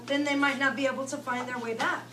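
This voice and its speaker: A woman's voice: high voice, fairly even intonation, quick pace. A woman preschool teacher reading aloud to young children and guiding them with simple, repeated phrases and questions. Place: preschool classroom. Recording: distant mic in noisy children's classroom. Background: loud chatter of young children.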